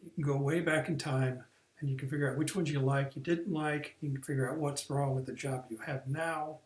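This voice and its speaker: A man's voice: fairly high pitch, steady tone, fairly quick, roomy sound, poor audio. A man explaining a career course in a plain, step-by-step way, with some restarts and fillers. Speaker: career coach. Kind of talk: recorded online training lesson.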